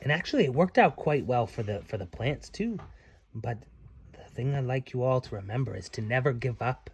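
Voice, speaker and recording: A man's voice: mid-range voice, animated, brisk, vocal fry, husky. A man reading aloud to children as their dad, with playful, folksy, chatty asides.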